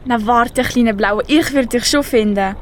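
A woman's voice: on the high side, a little slow, natural voice. A teenage girl with a Swiss German accent voicing a proud, sulky rainbow fish in an amateur school radio play. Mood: determined, annoyed. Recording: clear background noise.

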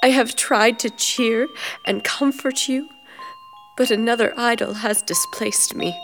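Tender, sorrowful young sweetheart, earnest, measured delivery in long reverb, radio drama with faint music. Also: female voice, on the high side, fairly even intonation, fairly bright tone. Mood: hurt. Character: tender, heartbroken young fiancée parting from her love. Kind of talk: theatrical radio drama acting.

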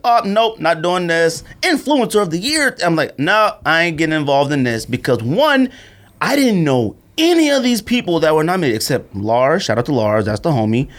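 Animated, higher-pitched man chatting on a podcast. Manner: slangy, animated storytelling, acting out quotes. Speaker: podcast co-host. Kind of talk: casual podcast chat and storytelling.

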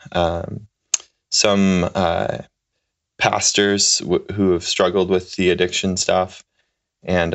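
A man in his thirties, a social worker, answering interview questions in a relaxed, halting way with many fillers. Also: low voice, muffled recording. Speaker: guest, clinical social worker.

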